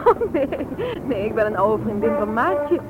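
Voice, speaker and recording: A woman's voice: fairly high pitch, expressive, unhurried. An actress playing a polite, helpful, somewhat hesitant young woman in a radio drama, eager in manner. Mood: friendly. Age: young adult. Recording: thin, phone-like old radio recording, slight noise.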